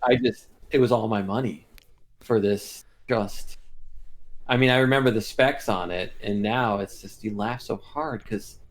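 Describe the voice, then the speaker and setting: A man's voice: fairly low pitch, unhurried. A middle-aged man co-hosting a podcast in casual chat, with a teasing, blunt, salty way of talking. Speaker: podcast co-host.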